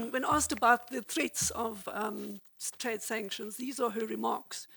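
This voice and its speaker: A hoarse woman from South Africa commenting from the floor during a conference Q&A. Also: a little high, unhurried, a bit bright. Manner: reads a quote aloud, clears throat. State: hoarse, croaky. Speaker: audience member asking a question.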